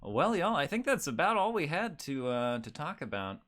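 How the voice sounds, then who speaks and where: A man's voice: on the high side, big pitch swings, moderate pace, fairly bright tone. A man hosting a podcast in a casual, laid-back and jokey way. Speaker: podcast host. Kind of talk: casual chat.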